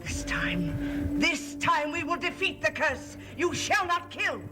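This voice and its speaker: An actress playing a stern, devoted occult housekeeper with a solemn, formal manner in a gothic soap opera. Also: female voice, high-pitched, slow pace. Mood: solemn, urgent. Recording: old TV soundtrack, loud music.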